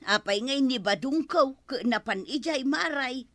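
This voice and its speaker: A woman with an Ilocano accent playing a nosy, expressive village wife in a comedy radio drama. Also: sits high, measured pace, no room sound.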